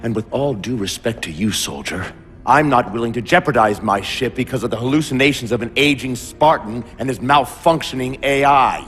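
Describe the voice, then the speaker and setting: An actor playing a stubborn, officious older warship captain in a dramatic video game cutscene. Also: male voice, medium pitch, normal speed, a bit bright, close to an everyday voice. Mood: stern, dismissive. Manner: commanding, officious. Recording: long reverb, music underneath.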